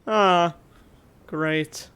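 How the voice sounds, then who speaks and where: Bright-voiced man doing casual gaming commentary. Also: sits high, extremely slow, clear, resonant tone. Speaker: gaming streamer. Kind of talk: casual let's play commentary, reading dialogue aloud.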